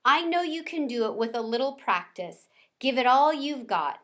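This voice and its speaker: A woman's voice: sits high, very animated, moderate pace, a bit bright, voice pushed up. A woman voicing a dramatic, encouraging female primary teacher in a storybook, theatrical and supportive.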